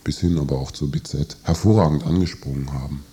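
Low, mellow male radio interviewer. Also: fast talker, slightly rough. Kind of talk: live radio interview, halting spoken questions.

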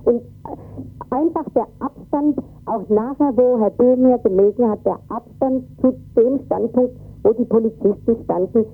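A high-pitched woman speaks in distress as an interviewee, husky and agitated. She halts and repeats her words as she recounts what she witnessed. The recording is dull and lo-fi, with music behind her. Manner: agitated, halting, repeats words, tags sentences with "ne". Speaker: interviewee, volunteer refugee worker. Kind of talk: emotional eyewitness account in an interview.

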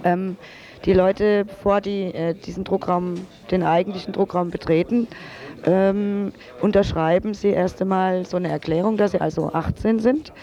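A woman's voice: mid-range voice, steady tone, moderate pace, warm tone, highs cut off. A woman guest in a radio interview, explaining step by step in informal everyday speech. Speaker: drug-aid worker guest.

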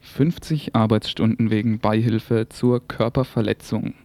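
A man's voice: on the low side, fairly even intonation, measured pace. A man reading scripted news commentary as a community radio presenter in a studio. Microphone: single shared studio microphone.

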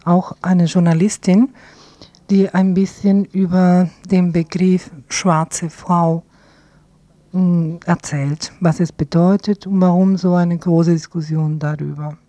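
A woman radio presenter announcing programme items in a hesitant, halting way, searching for words. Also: fairly low pitch, level tone, dark tone, dry recording. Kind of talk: loosely scripted programme announcement.

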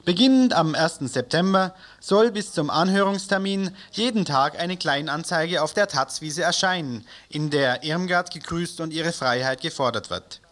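A man's voice: fairly high pitch, normal speed, few pauses, fairly bright tone. A man presenting community radio news and announcements from a studio, reading from a script with the odd stumble.

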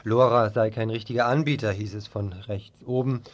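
A man's voice: fairly low pitch, fairly even intonation, normal speed. A man working as a radio news presenter, reading reports and introductions from a script.

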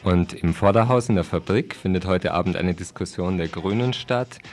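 Male radio presenter, kinda low voice, ironic, reading listings w music behind. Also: moderate pace.

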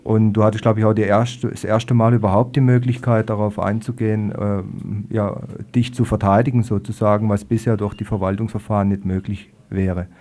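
Man, radio host, dark mellow voice, fairly low, informal, hesitant interview moderation. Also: level tone, brisk, hardly any pauses. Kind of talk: live studio interview moderation. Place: radio studio.

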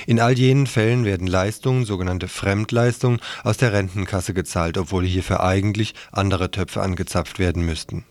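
A man's voice: lowish voice, no echo. A man working as a radio reporter, reading a scripted news report.

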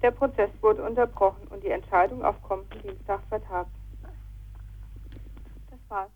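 A woman's voice: mid-range voice, fairly even intonation, normal speed. A woman giving a scripted court report as a news correspondent, on a muffled phone-in radio recording.

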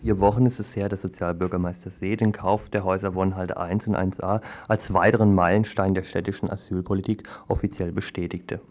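A man's voice: deep voice, level tone, a little fast, hardly any pauses, a little mellow. A man presenting a scripted news report on the radio.

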